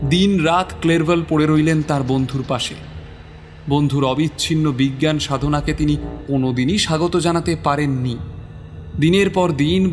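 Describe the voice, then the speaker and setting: A man narrating a scripted story as a dramatic narrator. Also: fairly high pitch.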